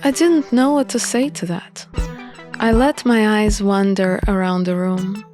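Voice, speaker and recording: Slow-paced woman narrating a visual novel. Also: mid-range voice, steady tone, darkish tone. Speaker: narrator of a game playthrough. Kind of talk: scripted first-person story narration. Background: background music.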